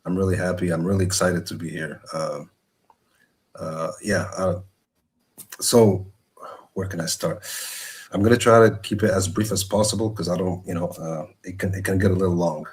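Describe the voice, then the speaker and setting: Male guest from Morocco, very low voice, casual personal storytelling, somewhat halting. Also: fairly even intonation.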